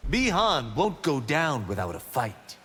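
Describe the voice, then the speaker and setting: An actor playing a cocky, brash young martial-arts monk trading boastful, teasing banter in a video game. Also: male voice, medium pitch, sing-song, a little slow, a bit bright, husky, exaggerated voice. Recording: reverberant game audio with background noise.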